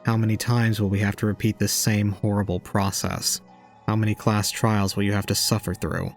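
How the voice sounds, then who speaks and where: Low-voiced cold young man, acted by a man, gravelly and flat, deliberate audio drama fatalist. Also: faint music behind. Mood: grim, bleak. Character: cold young man preaching despair with detached logic.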